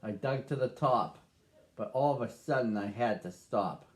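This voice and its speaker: A man reading a rhyming picture book aloud to children, with a slow, sing-song rhythm. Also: mid-range voice. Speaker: storybook reader.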